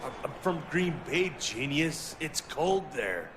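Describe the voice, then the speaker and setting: A man's voice: fairly high pitch, very animated, slow, background noise. A man playing a brash, brusque pro wrestler in a video game cutscene.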